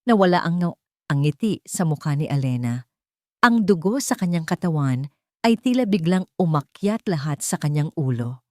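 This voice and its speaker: A woman reading scripted dramatic fiction as narrator, in deliberate short phrases. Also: sits low, expressive, mellow, dry recording, clean studio sound. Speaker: story narrator.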